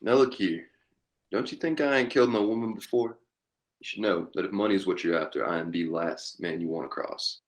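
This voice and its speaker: A man acting a very deep-voiced Western outlaw captain. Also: fairly quick. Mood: defiant. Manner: folksy cowboy talk. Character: tough Western outlaw captain recruiting a posse. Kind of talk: western table read.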